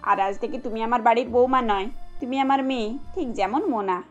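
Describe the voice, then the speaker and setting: Woman playing a high-voiced, kindly mother-in-law. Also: a little fast, dull audio, faint music behind, close to an everyday voice. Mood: affectionate, insistent. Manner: motherly, gently insistent. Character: kindly, caring middle-aged mother-in-law, protective and reassuring.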